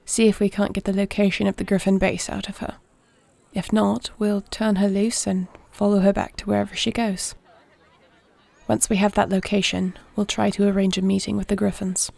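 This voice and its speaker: A woman playing a composed, compassionate warrior princess in a fantasy audio roleplay, speaking formally and with measured care in a husky voice. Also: mid-range voice, flat delivery, a little mellow, no echo. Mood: earnest, reassuring. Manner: measured, formal, solemn phrasing.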